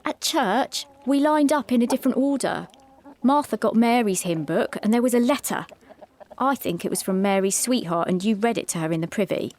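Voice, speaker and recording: A woman's voice: fairly high pitch, expressive, moderate pace, a little mellow, close to an everyday voice. An actress playing an earnest, caring young woman in an eighteenth-century London asylum in an audio drama. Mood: insistent, pleading.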